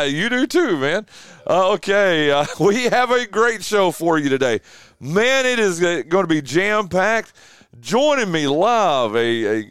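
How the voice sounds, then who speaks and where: A man hosting a casual morning radio show, rambling with fillers, his voice a bit rough and deeper from yelling the night before. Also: no echo, clean studio sound. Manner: rambling, chatty, lots of uh fillers. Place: small home radio studio. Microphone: studio mic with headphones.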